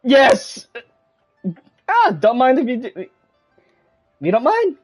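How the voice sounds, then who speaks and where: A woman's voice: high-pitched, big pitch swings, takes it slowly, darkish tone. A woman streaming a game with casual, chatty commentary. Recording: game music behind the voice.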